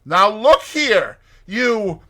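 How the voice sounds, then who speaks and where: A man voicing an excitable, bossy male water rat from a storybook, scolding and exclaiming emphatically. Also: high voice, drawn-out words, voice pushed up, exaggerated voice. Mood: angry.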